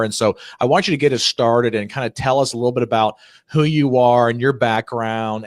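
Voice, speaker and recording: A man's voice: medium pitch, no echo. Chatty male host, dry sound. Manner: chatty, lots of "you know" and "kinda" fillers. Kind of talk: casual interview.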